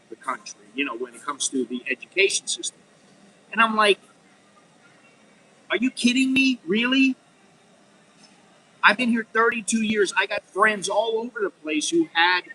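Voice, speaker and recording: Bright-voiced American man rambling frankly. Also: high voice, a little hiss or hum, low-quality recording. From United States. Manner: rambling, frank, with many "you know"s and restarts. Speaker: vlogger. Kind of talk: unscripted casual monologue.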